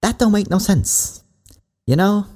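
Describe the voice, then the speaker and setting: A man's voice: high voice, a little slow. A man hosting a reaction video, giving casual, rambling commentary with asides. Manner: relaxed, rambling asides with laughs.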